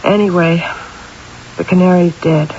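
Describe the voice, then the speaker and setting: An actress playing a wry, brittle, sleepless middle-aged wife in a theatrical 1970s radio drama style, with a gravelly voice. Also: female voice, fairly low pitch, steady tone, measured pace, mellow, close to an everyday voice. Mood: weary, wry. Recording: dull, noisy old radio recording.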